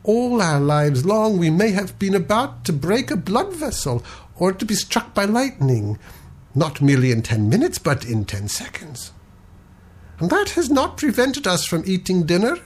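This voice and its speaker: A man voicing a calm, philosophical sea captain who reasons in a gentle, lofty manner. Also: sits high, pitched above the performer's own voice, exaggerated voice.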